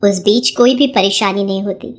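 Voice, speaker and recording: A woman narrating a children's moral story in an even storybook manner. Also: medium pitch, moderate pace.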